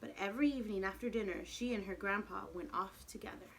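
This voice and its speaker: A woman's voice: medium pitch, fast talker, fairly bright tone, a little hiss or hum, rough recording. A woman reading a picture book expressively to young children as their teacher, speaking to them directly. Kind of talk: animated storybook read-aloud with questions to the children.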